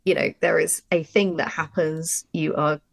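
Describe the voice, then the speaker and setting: A woman's voice: low-pitched, fairly even intonation, normal speed, vocal fry. A woman talking casually and emphatically as a writer explaining her craft in a workshop discussion. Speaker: audio drama writer.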